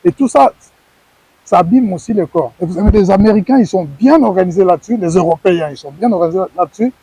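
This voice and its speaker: Middle-aged guy from congo talking wrestling on tv, earnest, stumbles and repeats himself, kinda high voice. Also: lively intonation, fast, mellow, slight background noise, rough recording. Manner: earnest, repeats words while searching for phrasing. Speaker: former wrestler, guest. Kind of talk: TV sports interview answers.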